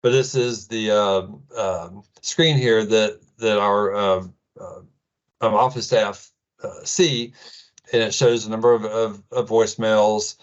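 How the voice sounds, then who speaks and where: A middle-aged man with an American accent giving an informal tech presentation in a casual, halting way full of ums. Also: on the low side, steady tone, lo-fi sound. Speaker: presenter, IT administrator.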